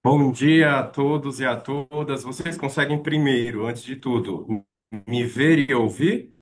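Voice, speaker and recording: A man's voice: medium pitch, unhurried. Man, Maranhão accent, polite online lecturer, hesitant delivery, video call sound. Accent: Maranhão Brazilian Portuguese. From Maranhão, Brazil.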